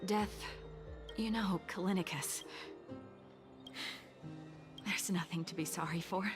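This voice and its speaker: A woman's voice: fairly low pitch, slow pace. A woman playing a dignified, stoic, composed character in a video game cutscene. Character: dignified, stoic bereaved warrior-nation woman. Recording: background music under the voice.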